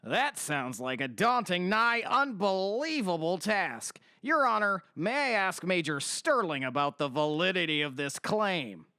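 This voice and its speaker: A woman's voice: lowish voice, sing-song, a little slow, hardly any pauses, pushed and bright, no room sound, studio quality, heavily acted. A woman playing an assertive defense lawyer, formal and emphatic, in an audio drama courtroom. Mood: measured, questioning.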